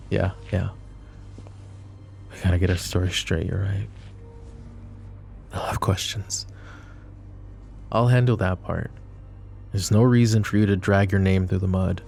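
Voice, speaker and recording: Male VA as a possessive mafia boss, very deep and gravelly, soft intimate delivery, faint music underneath. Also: steady tone, measured pace, long pauses. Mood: protective. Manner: soft, intimate, addresses listener directly. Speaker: ASMR roleplay voice actor. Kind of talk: boyfriend ASMR roleplay.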